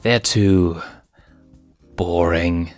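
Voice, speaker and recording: A cocky young lion cub prince from a storybook, read by a man in a low voice. He is breezy and self-assured, taking his time over faint music. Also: steady tone, extremely slow.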